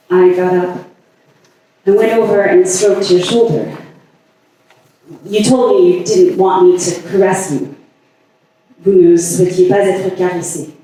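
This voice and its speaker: A man performing a staged monologue as a lovelorn woman haunted by obsessive passion, halting and deliberate with long pauses. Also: high voice, mellow. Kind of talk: staged dramatic monologue reading. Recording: echoey, distant stage recording.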